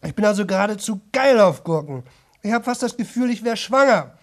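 Rambling, boastful young man, higher-pitched voice. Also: lively intonation, brisk. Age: young adult. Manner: chatty, rambling, repetitive, self-interrupting. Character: boastful, rambling young man attempting a nonstop-talking world record. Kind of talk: radio play monologue to an audience.

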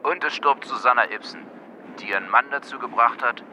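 A man's voice: mid-range voice, brisk, bright, forceful tone. A man reciting scripted narration as one of several narrators in an audio drama. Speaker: chorus narrator. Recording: dull sound, faint outdoor traffic noise.

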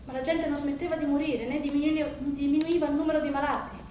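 A woman playing a weary, disillusioned older psychiatrist in a theatrical monologue, speaking in a measured, deliberate way with rhetorical repetition. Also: sits high, flat delivery, darkish tone, close to an everyday voice. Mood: weary, reflective. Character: veteran psychiatrist of thirty-five years, weary, disillusioned, reflective. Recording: roomy, distant stage recording, faint noise.

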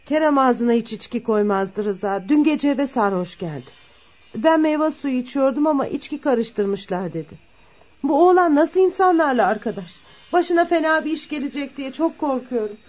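An actress playing a worried, gentle middle-aged mother in a radio play, anxious and pleading. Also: high-pitched, expressive, normal speed. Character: worried, gentle mother of a troubled grown son. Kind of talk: Turkish radio theatre acting. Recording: dull lo-fi radio drama recording.

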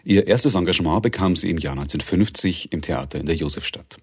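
A male radio presenter reads a scripted introduction. His voice is exceptionally deep, with a husky, rough-edged tone. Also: fast.

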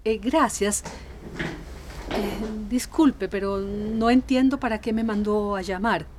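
A woman's voice: mid-range voice, takes it slowly, darkish tone, a little hiss or hum, natural voice. An actress playing a flustered, strict mother who frets about appearances, with a Costa Rican accent, in a radio drama. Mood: fretful. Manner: fretful, scolding.

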